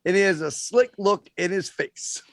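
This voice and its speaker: A man reading scripted stage directions as a show's sidekick, with a gravelly, raspy voice. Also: high voice, moderate pace, dry recording. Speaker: sidekick host reading narration and bit parts.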